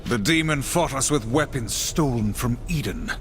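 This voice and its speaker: A man's voice: medium pitch, expressive, normal speed, a bit bright, slight background noise, natural voice. An actor playing a stern, solemn horseman warrior in a video game, speaking in a clipped, commanding way. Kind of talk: dramatic video game cutscene acting.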